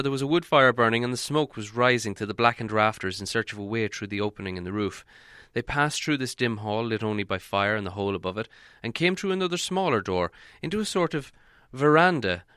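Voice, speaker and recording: A man's voice: medium pitch, a bit bright, clean tone, dry recording, clean studio sound. A man reading a fantasy storybook aloud as scripted audiobook narration. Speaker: audiobook reader.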